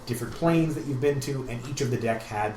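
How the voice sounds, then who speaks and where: A man's voice: mid-range voice, fast, a little mellow. Enthusiastic guy chatting in an echoey room. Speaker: podcast co-host. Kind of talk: casual hobby podcast chat about games. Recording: roomy echo, faint background noise.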